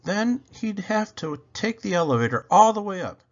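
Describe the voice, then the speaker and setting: A man's voice: high-pitched, sing-song, normal speed, lo-fi sound, voice pushed up. A man voicing a spirited, skeptical young girl in a children's storybook.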